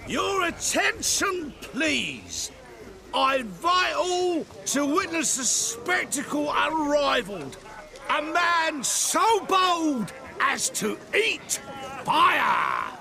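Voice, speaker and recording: A drunken street fire eater, cocky and coarse, in a video game cutscene. His voice sits high and gravelly, swinging widely up and down as he drawls slowly. Loud ambient game sound fills the background. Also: big pitch swings, exaggerated voice. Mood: showman. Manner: gruff, cocky, coarse slang, slurring drunk. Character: drunken scruffy male fire eater, touchy and boastful.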